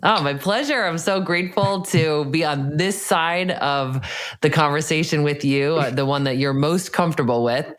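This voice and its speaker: A woman's voice: deep voice, moderate pace. A woman hosting a podcast, reading a scripted introduction and then chatting with a guest in an encouraging way. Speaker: podcast host.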